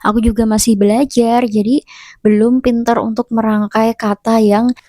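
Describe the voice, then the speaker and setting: Hoarse, chatty woman vlogger. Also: fairly high pitch, level tone, normal speed, mellow, no room sound. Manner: chatty and self-deprecating, sounds a bit stiff and blunt. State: hoarse from a long sore throat. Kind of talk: casual vlog narration to viewers.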